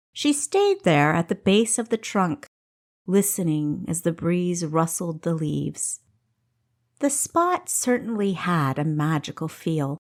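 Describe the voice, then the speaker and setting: A woman reading a fantasy story aloud as an audiobook narrator, in an expressive, measured storytelling style. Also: fairly low pitch.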